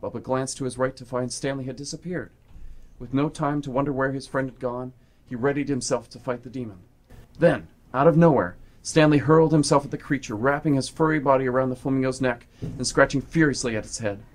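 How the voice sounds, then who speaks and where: A man's voice: medium pitch, fairly quick. A man reading scripted, dramatic story narration for a radio play.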